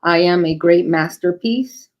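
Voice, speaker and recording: A woman in her forties from New York, a podcast guest speaking in a calm, measured, reflective way. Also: on the low side. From New York City, raised in northern New Jersey. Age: 40s. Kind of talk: casual podcast chat.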